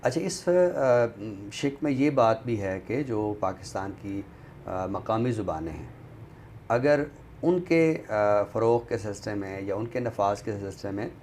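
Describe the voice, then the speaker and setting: A man with a fairly low voice and a Pakistani accent gives measured commentary as an expert guest on a talk show. He explains things in a conversational way, with clear noise behind him. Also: lively intonation, darkish tone.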